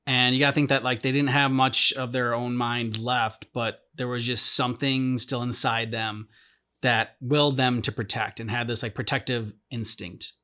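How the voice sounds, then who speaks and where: A man with a mid-pitched voice gives casual reaction commentary. He meanders and hesitates, piecing thoughts together as he goes. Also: little pitch movement, normal speed, sharp tone. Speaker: reaction video host. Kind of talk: casual chat, game commentary.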